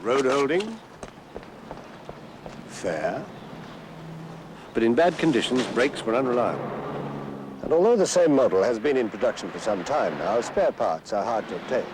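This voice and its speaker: An actor playing a deadpan, clipped motoring reviewer in a scripted public information film. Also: male voice, mid-range voice, moderate pace, pauses often, close to an everyday voice. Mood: dry, deadpan. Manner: dry, clipped, matter-of-fact. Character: deadpan motoring reviewer assessing a pedestrian as a car. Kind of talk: mock road-test report, scripted narration. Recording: noisy old film soundtrack, traffic.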